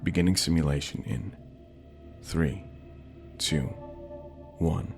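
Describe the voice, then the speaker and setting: A man voicing an impersonal simulation system that makes measured announcements in a scripted audio drama. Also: extremely low pitch, steady tone, gravelly, a little mellow, soft background music, full character voice.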